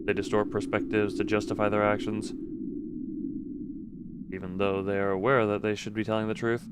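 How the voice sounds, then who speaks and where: Low-voiced man streaming a game, noisy background. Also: flat delivery, normal speed. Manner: stumbles over read text and corrects himself. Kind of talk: casual livestream commentary mixed with reading game text aloud.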